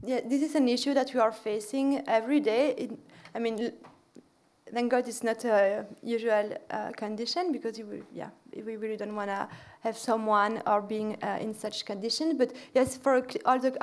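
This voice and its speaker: A woman's voice: on the high side, fairly even intonation, normal speed. A woman lecturer answering audience questions at a podium in a large lecture hall, with many fillers and restarts.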